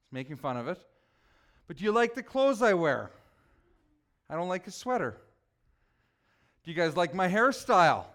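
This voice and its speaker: A man preaching a relaxed, conversational sermon, joking with his congregation and teasing people by name. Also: high voice, normal speed, long pauses. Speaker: pastor.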